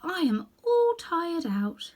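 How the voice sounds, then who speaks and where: A woman's voice: extremely high pitch, very animated, takes it slowly, darkish tone, voice pushed up, full character voice. A woman voicing an earnest, polite young girl traveller in a children's storybook. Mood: tired, polite.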